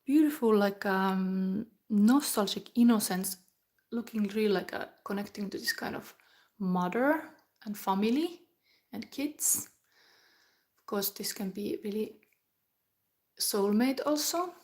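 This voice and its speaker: A middle-aged woman from Finland, giving a live tarot reading in a slow, chatty way that often drops into a whisper. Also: medium pitch, a little mellow. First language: Finnish. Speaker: tarot reader.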